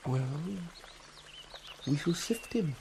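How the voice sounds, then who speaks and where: A man's voice: fairly high pitch, animated, slow, noisy background. An actor playing a commanding, courtly middle-aged king with stately delivery in a classical Shakespearean drama. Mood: intent.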